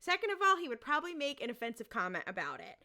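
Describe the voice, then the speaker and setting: A woman's voice: high voice, very animated, rapid-fire, bright, forceful tone. A young adult woman chatting casually and comedically as a podcast panelist.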